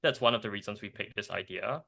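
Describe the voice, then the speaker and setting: A young man from Singapore, a startup co-founder, talking in a casual podcast interview in animated bursts with restarts and laughs. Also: lowish voice, quick pace, vocal fry.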